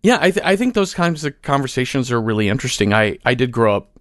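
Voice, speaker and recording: A man in a casual, reflective interview as a guest, talking in a halting way as he thinks aloud. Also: mid-range voice, sing-song, no echo, clean studio sound. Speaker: podcast guest, fiction writer.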